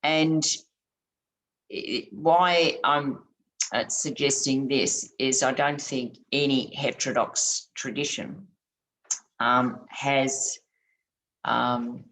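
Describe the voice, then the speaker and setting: A woman giving an academic conference talk over a video call, her voice scratchy late at night, speaking in a measured way with frequent ums and restarts. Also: rumbling low, fairly bright tone. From Australia. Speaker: conference presenter.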